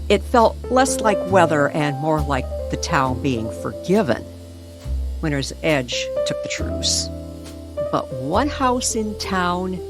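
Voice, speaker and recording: A woman narrating a mysterious story in old-time radio style, deliberate and suspenseful, with dramatic pauses. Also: deep voice. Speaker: co-narrator of an audio story series. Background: music under the voice.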